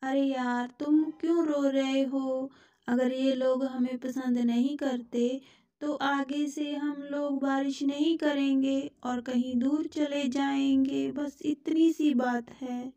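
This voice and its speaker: A woman voicing a gentle, easily hurt rain cloud in a children's cartoon, with a plaintive, simple manner. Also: high-pitched, level tone, slow, muffled recording. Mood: consoling.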